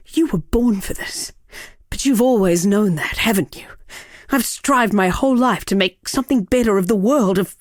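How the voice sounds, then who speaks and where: An actress playing a proud, seductive, unrepentant villainess sorceress in a video game, with a measured, theatrical, sardonic delivery. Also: female voice, mid-range voice, dry recording, clean studio sound. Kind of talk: dramatic video game character acting.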